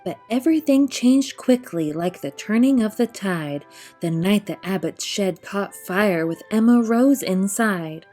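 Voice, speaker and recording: A woman narrates a children's picture book in rhyming verse. Her voice is mellow and mid-pitched, her delivery expressive and rhythmically measured. Soft music plays underneath. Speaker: storyteller reading aloud.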